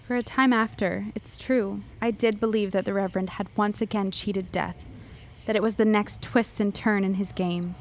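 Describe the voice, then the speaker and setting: A woman plays a serious schoolgirl in a period audio drama, guarded and fearful. Her delivery is formal and reserved, at a medium pitch, with crickets chirping behind. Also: fairly even intonation, moderate pace, slight background noise, lightly acted. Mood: haunted, fearful. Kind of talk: period radio drama acting.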